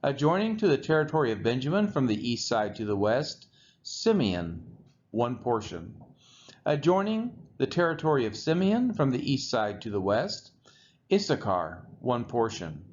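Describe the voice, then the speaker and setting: Man reading scripture with lively pitch swings. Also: normal speed. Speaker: scripture reader. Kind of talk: scripted Bible reading aloud. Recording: muffled lo-fi audio with background noise.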